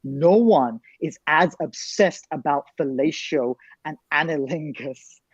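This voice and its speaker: A man's voice: on the high side, slow, slightly rough, no echo. Man, articulate, wry, lecturing, dry muffled recording. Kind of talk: discussion panel.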